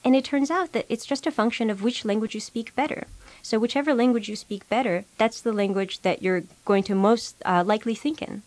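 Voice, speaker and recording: A young adult woman whose native language is Russian, answering interview questions as a researcher, explaining in a measured way with many ums and uhs. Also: fairly high pitch, a little mellow, no room sound. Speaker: psychology researcher.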